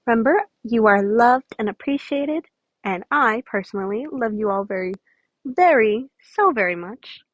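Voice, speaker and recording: A woman with a fairly high voice reads a story and talks casually to listeners. The home recording is dry, with a slightly dulled top end. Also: lively intonation, moderate pace, no echo, lo-fi sound. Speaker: podfic reader.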